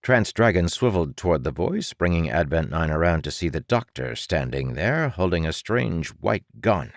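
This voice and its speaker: Casting: male audiobook narrator, low register, highly animated dramatic read, dry studio-close sound. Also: moderate pace, gravelly, no echo.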